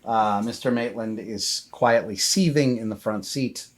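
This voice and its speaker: A man acting as game master, improvising narration in a halting, conversational way. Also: lowish voice.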